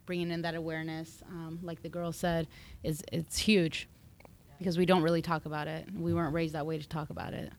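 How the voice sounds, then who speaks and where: A woman's voice: low voice, flat delivery, fairly quick, slight background noise. A middle-aged American woman introducing herself in casual podcast talk. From United States. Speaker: panel member, counselor in training.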